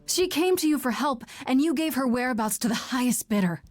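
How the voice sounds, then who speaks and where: An actress playing a young heroine in a video game, blunt and probing, talking in a direct, conversational way. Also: female voice, high voice, animated, a little fast, dry recording, faint music behind. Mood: accusing. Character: young woman Grace, blunt, probing, guarded newcomer heroine. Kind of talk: video game dialogue acting.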